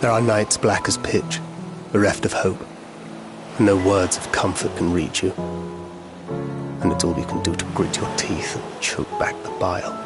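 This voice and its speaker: An actor playing a worldly, philosophical man in a video game, articulate, wry and quietly resolute. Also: sits low, fairly even intonation, normal speed, pauses often, natural voice. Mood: reflective, grave. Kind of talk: video game cutscene acting. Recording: music playing behind the voice.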